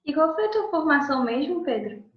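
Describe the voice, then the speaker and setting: A woman's voice: sits high, big pitch swings, brisk. A woman interviewing a guest in an informal, conversational way. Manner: informal, uses "tu" forms. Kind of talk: casual interview questions. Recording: echoey room, muffled audio.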